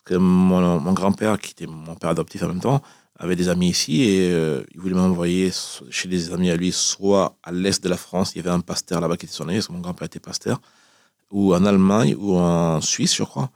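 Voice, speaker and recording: Guy in his 40s from cameroon, really low voice, chatting as a radio guest, dry close sound. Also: fairly even intonation, fairly quick, darkish tone, dry recording. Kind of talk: spontaneous personal storytelling in an interview.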